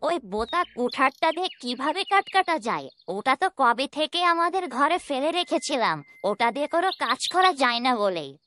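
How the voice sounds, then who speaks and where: A woman's voice: high voice, very animated, brisk, few pauses, pushed and bright, a little hiss or hum, exaggerated voice. A woman voicing a scheming, suspicious village aunt in a cartoon, needling and accusatory. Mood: accusing. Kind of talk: cartoon dubbing. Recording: music bed behind voice.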